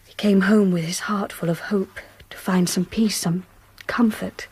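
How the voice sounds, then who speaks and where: A woman's voice: mid-range voice, fairly even intonation, measured pace, a little mellow, slightly rough. A woman acting a reserved, well-bred young Victorian governess with an English accent, composed and precise, in a radio drama. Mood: grave, sorrowful.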